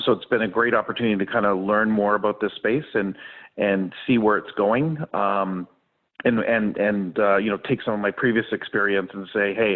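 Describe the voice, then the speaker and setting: A middle-aged man with a Canadian accent speaking as a business panelist, halting between short phrases. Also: lowish voice, no room sound. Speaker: bank executive, webinar panelist. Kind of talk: unscripted business panel talk. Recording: dry, narrow phone-like call audio.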